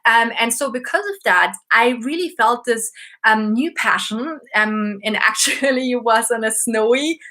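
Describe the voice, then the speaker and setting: A bubbly woman in her thirties with an Austrian German accent chats on a home live stream, her voice bright and a little high. She talks freely, with plenty of fillers and restarts, and sounds like she is speaking from a desk at home. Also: measured pace. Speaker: YouTuber and business owner. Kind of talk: casual live stream chat.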